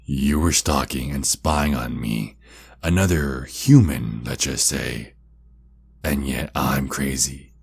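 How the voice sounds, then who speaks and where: A man playing a taunting, sinister centuries-old vampire kidnapper in an ASMR roleplay, with a breathy, husky voice and slow, mocking menace. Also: rumbling low, full character voice. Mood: taunting, gleeful.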